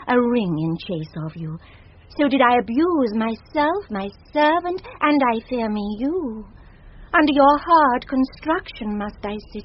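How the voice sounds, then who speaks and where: A British actress playing a proud, lovesick noblewoman, stately and yearning, in a classic radio Shakespeare play. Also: on the high side. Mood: yearning, ashamed. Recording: dull, noisy vintage radio recording.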